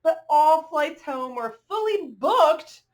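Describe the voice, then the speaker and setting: A woman's voice: high voice, lively intonation, takes it slowly. A woman reading a children's picture book aloud expressively, adding chatty asides and drawing listeners in with questions and exclamations.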